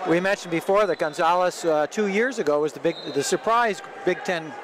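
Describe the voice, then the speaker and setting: A man's voice: high voice, fairly quick. A man working as a play-by-play announcer, giving rambling, explanatory live wrestling commentary for radio. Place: large indoor sports arena. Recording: loud crowd and gym noise behind. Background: crowd, bouncing and thuds of a tournament.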